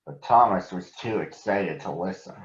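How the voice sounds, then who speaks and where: A man's voice: low-pitched, moderate pace, noisy background. A man narrating a children's story to young listeners as a storybook reader. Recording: roomy echo, dull sound.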